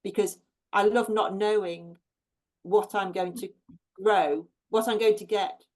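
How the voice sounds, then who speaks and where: A woman's voice: mid-range voice, steady tone, unhurried. Chatty woman gardener, full of ums. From England. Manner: chatty and warm, with many ums and restarts. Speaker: professional gardener, guest. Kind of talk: casual chat about her plants.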